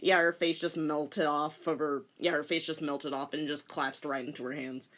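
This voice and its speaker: A woman's voice: low-pitched, level tone, normal speed, fairly bright tone. A woman narrating a comic dramatic reading with teasing, theatrical commentary.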